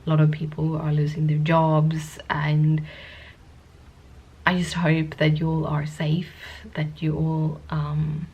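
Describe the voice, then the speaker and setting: A woman vlogger with a sniffly cold, chatting to the camera in a soft-spoken, rambling, hesitant way. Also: low voice, monotone, takes it slowly, husky, muffled recording. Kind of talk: casual talking-to-camera vlog.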